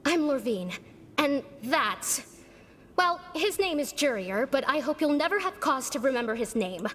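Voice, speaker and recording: A woman's voice: high voice, big pitch swings, normal speed, a bit bright. A woman voicing a prim, easily irked game character with a formal, lecturing manner. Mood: composed. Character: prim, sharp-tongued female infirmary patient, easily irked. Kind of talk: video game dialogue. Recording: long reverb, faint background noise.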